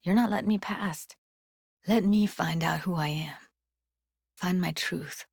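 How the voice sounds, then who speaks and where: Casting: young female techie for a game, lowish voice, blunt, guarded, streetwise, naturalistic and intimate, dry close recording. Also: unhurried, vocal fry, darkish tone, slightly rough, dry recording, exaggerated voice. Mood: hurt, searching. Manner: casual, clipped, slangy, intimate delivery. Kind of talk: naturalistic video game dialogue acting.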